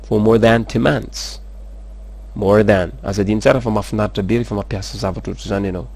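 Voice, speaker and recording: Brief: male English tutor, lowish voice, slow drills then brisk explaining. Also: fairly even intonation, a little mellow. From Madagascar. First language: Malagasy. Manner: slow, careful model sentences between fast explanations. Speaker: English teacher. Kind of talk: language lesson, explaining in Malagasy and French.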